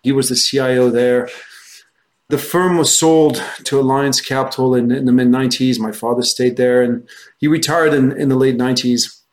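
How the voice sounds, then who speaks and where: Middle-aged French-raised man, relaxed talk. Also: medium pitch, moderate pace. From France. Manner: relaxed, with frequent restarts and fillers. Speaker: guest, research firm founder. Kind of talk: conversational interview answers.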